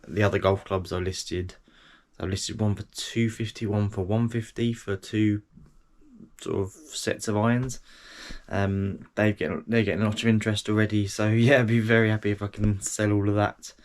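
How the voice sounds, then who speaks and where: A middle-aged man chats casually to camera, his voice a bit nasal from a cold. He rambles along with plenty of ums and self-deprecating asides, speaking in a slightly low voice. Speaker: eBay reseller vlogger.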